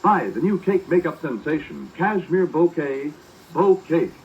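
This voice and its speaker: Animated man reading a vintage radio advert. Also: a little high, measured pace. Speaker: radio commercial announcer. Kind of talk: 1940s radio ad read. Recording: muffled, noisy vintage radio recording.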